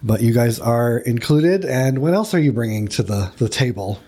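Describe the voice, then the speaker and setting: Husky-voiced male talk show host. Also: medium pitch, lively intonation, normal speed, mellow. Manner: brief affirming interjections, upbeat questions. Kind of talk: studio interview.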